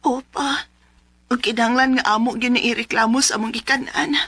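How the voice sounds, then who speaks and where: An actress with a Cebuano accent playing an aggrieved, excitable woman in her twenties in a radio drama. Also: on the high side, fairly quick, breathy edge, no echo, faint music behind. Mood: indignant. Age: 20s. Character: aggrieved young woman, chatty and excitable, telling her troubles. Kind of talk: Filipino radio drama acting and narration.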